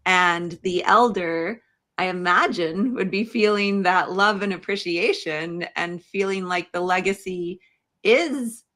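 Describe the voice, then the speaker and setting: A woman's voice: on the low side, bright, forceful tone. A woman hosting a casual interview, reflective and appreciative, thinking aloud as she talks. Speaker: podcast host.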